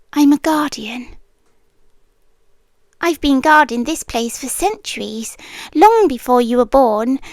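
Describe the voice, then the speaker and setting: An ancient guardian monster girl acted by a British woman speaks in a high British voice, very close and dry. She takes her time, soothing and possessive, drifting between soft speech and whispers in an intimate yandere ASMR style. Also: no echo. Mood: hushed, watchful. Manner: slow, hushed, intimate, soothing, often dropping to whispers. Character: centuries-old female gargoyle-like guardian monster, possessive, obsessively devoted. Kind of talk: yandere girlfriend ASMR roleplay. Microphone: close microphone, dry.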